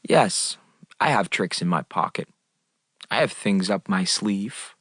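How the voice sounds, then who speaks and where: Low-voiced, wistful stage narrator son. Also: male voice, fairly bright tone, husky, no room sound, exaggerated voice. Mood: wry, lyrical. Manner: poetic, measured, addressing the audience. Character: wistful young American son narrating his memory play. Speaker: actor playing a narrator. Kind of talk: theatrical radio drama monologue.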